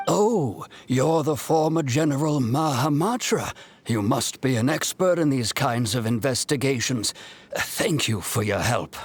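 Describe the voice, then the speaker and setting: A man voicing a kindly village chief in a video game, polite and measured. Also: mid-range voice, lively intonation, husky. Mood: grateful. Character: kindly, courteous village chief, called uncle. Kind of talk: video game character dialogue.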